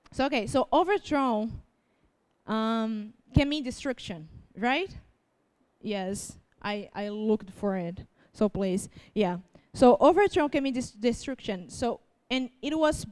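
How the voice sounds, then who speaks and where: A woman's voice: fairly high pitch, darkish tone, smooth. A young woman from Brazil with a Brazilian Portuguese accent, reading scripture and preaching informally in an earnest, self-deprecating way, with many fillers. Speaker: church speaker.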